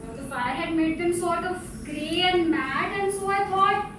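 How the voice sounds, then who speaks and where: A woman acting a chatty, gently needling wife in a live stage play, in a conversational, teasing way. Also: very high voice, a little slow. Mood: gently insistent. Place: indoor theatre stage, live performance. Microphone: distant microphone. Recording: distant, very echoey theatre, background noise.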